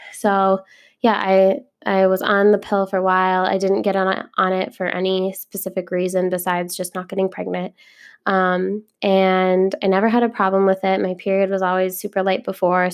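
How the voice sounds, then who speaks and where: A woman's voice: mid-range voice, clean tone. A young woman hosting a podcast, talking casually and chattily with lots of likes and ums, a bit stuffy from a cold. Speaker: podcast host. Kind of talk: casual monologue.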